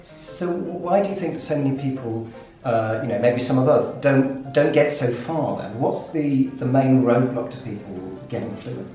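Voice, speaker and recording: A man's voice: mid-range voice, moderate pace, few pauses. A male interviewer poses his questions with hesitant stops and repeated starts. His voice sounds distant and very roomy. Faint music plays behind him. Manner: hesitant, stammering restarts, lively openings. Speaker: host. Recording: echoey room, heard from some distance, soft music.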